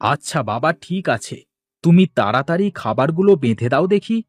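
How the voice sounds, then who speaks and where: Cartoon village fish seller, acted by a man, male, folksy, polite, busy, lively intonation, highish pitch. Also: fairly quick, no echo, studio quality. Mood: hurried, placating. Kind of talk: Bengali cartoon dialogue acting.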